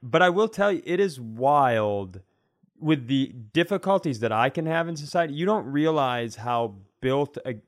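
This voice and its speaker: Casting: male comedy podcaster, middle-register voice, very dry sound, rambling animated conversational delivery. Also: medium pitch, no room sound.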